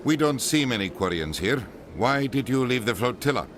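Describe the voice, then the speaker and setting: An actor playing a blunt, impatient human ambassador in a video game, with a sardonic manner. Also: male voice, medium pitch, lively intonation, normal speed, fairly bright tone, slight background noise, natural voice. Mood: sceptical, curt. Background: music.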